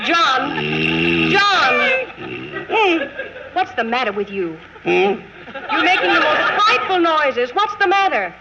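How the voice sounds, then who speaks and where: A restless, nagging wife from a 1940s radio comedy, very high in pitch. She scolds and questions fretfully over a noisy old recording with a studio crowd behind her. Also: big pitch swings, slow pace, pauses often, exaggerated voice. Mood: exasperated. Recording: muffled vintage radio, noise, audience.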